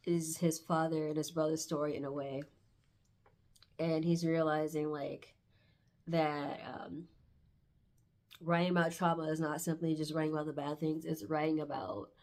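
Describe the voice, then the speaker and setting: A woman's voice: low-pitched, darkish tone. A congested woman with a stuffy nose from hay fever, chatting casually and reflectively as she reviews books, often searching for words. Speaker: book reviewer vlogger. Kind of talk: casual book review chat, with passages read aloud.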